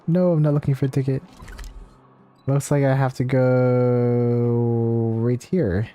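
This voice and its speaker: Male streamer, mid-pitched and mellow, offhand live gameplay commentary over faint game music. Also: flat delivery, slow pace, clean tone. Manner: offhand, reads dialogue aloud between asides to chat. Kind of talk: casual live gameplay commentary, reading out quest dialogue.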